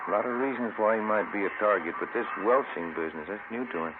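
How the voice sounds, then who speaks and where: A folksy police detective sidekick acted by a man with a lowish voice and a casual, homely way of talking. It is an old radio crime drama, muffled and very noisy. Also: quick pace, natural voice. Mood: mild, homely.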